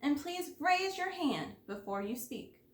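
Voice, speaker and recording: A firm, patient lady teacher from a storybook, read by a woman. She speaks in a measured, instructive way, in an echoey room. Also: high voice, big pitch swings, voice pushed up. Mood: correcting.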